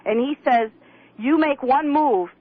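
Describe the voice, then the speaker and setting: A woman's voice: high-pitched, unhurried, phone-quality sound, a little hiss or hum. A woman calling in to a radio show, hurling blunt, repetitive accusations. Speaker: caller. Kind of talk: call-in radio argument.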